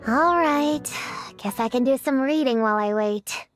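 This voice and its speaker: High-voiced proud young witch girl. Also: unhurried, soft background music. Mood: uneasy, grumbling. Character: bookish young witch girl, stubborn, proud, self-serious. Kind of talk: anime-style video game character acting.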